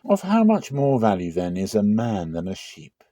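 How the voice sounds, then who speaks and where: A man's voice: lowish voice, wildly swooping pitch, normal speed, mellow, no echo, clean studio sound. A man voicing an authoritative, earnest male teacher in a dramatic scripture reading, with emphatic, rhetorical preaching. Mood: earnest, questioning.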